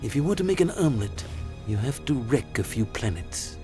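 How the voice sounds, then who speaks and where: An actor playing a greedy, callous old tycoon villain with a haughty, gloating manner. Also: male voice, medium pitch, sing-song, brisk, natural voice. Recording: music behind the voice.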